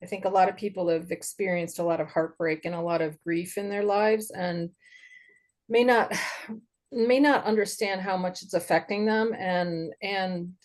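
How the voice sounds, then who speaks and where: A woman introducing herself hesitantly on a video call, soft-spoken, with many pauses and fillers. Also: on the low side. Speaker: event attendee.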